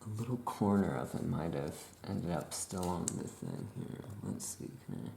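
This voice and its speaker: A soft-spoken ASMR performer talking as an easygoing, chatty witch shopkeeper, rambling and trailing off in an unhurried way. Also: lively intonation. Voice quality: soft-spoken, low creaky voice. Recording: roomy echo, faint background noise.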